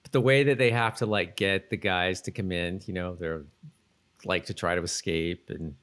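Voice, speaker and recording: Low-voiced man, rambling podcast chat. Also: steady tone, a bit bright, smooth. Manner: rambling, halting, repeats himself. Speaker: podcast co-host. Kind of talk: casual chat recapping a TV episode.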